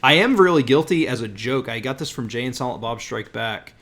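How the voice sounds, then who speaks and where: Man from the US South, casual podcast host, rambling, restarts, mid-pitched voice. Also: lively intonation, fairly quick, a bit bright. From Southern United States. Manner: rambling, restarts, self-deprecating laughs. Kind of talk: casual chat.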